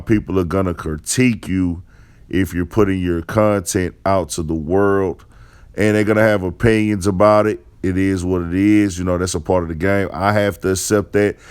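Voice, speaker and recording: A man's voice: low voice, a little mellow, clear, resonant tone. A man hosting an online show with casual, off-the-cuff commentary in a slangy, conversational way. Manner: slangy, conversational, repeats "you know".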